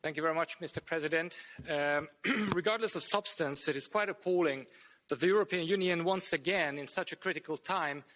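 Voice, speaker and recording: High-voiced man giving a critical floor speech. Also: fairly bright tone. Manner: critical, measured, with some hesitations. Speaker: member of parliament. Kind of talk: one-minute floor speech.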